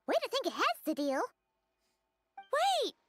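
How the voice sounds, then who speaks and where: A woman's voice: very high voice, wildly swooping pitch, moderate pace, soft background music, exaggerated voice. A woman voicing a feisty, cheeky young inventor girl in a video game.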